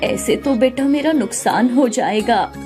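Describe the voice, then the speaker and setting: A woman's voice: a little high, unhurried, music in the background, lightly acted. Woman putting on a fatherly older shopkeeper man. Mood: worried. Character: kindly older male samosa shopkeeper.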